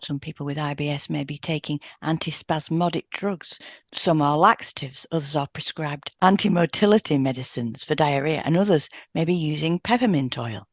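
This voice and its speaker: A woman co-hosting a health podcast, reading scripted material in a measured, explanatory way and carefully sounding out technical terms. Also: low-pitched, no echo. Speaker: podcast co-host and hypnotherapist. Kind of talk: scripted educational narration.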